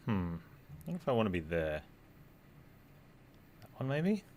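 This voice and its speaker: A man's voice: fairly low pitch, big pitch swings, a little slow, a little hiss or hum. A man streaming a game, giving casual, offhand commentary and thinking aloud as he plays. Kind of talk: casual live gameplay commentary. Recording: voice under loud game music. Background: loud game music.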